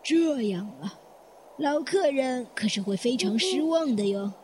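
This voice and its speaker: A woman acting in a Chinese audio drama as a courteous, composed elderly hotel guest with a polite, measured way of speaking. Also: high-pitched, animated, mellow, a little hiss or hum. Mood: reassuring, polite.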